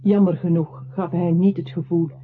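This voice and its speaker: An actor playing a grave narrator in a radio drama, telling of a lonely man's downfall in measured, literary phrases with a husky voice. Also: sits high, level tone, close to an everyday voice. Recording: muffled phone-like old radio recording.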